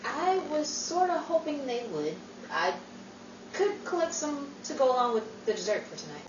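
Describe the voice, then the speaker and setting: Woman as animated wisecracking 1940s radio sidekick. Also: high-pitched, normal speed, recorded from a distance, muffled recording. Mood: dryly sarcastic. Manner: animated, dryly sarcastic. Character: excitable, exasperated, wisecracking married best friend Rachel. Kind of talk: 1940s radio comedy acting. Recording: noisy, echoey room, off the mic.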